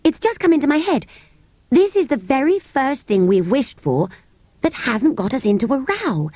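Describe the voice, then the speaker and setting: An earnest Edwardian girl played by a woman narrator speaks in a high, eager voice. Her English accent is Received Pronunciation, and she stresses her words as a storybook child does. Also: sing-song, moderate pace, mellow, no room sound. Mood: relieved, eager. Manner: eager, emphatic. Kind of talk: children's storybook character reading.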